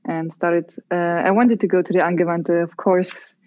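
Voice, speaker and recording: A woman's voice: on the low side, fairly even intonation, normal speed, no echo. A woman from Carinthia with an Austrian accent, chatting casually as an artist being interviewed. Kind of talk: casual chat. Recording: dull lo-fi sound.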